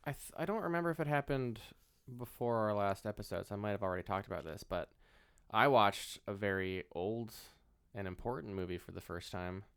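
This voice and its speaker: A man's voice: on the low side, a little fast, a bit bright, clear, resonant tone. A man co-hosting a podcast, telling informal anecdotes and chatting casually about films. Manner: informal, anecdotal, mild swearing. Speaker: podcast co-host.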